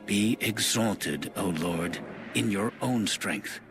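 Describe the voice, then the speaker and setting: A man's voice: on the low side, slow pace, over music. A man narrating an audio Bible in a solemn, scripted reading style.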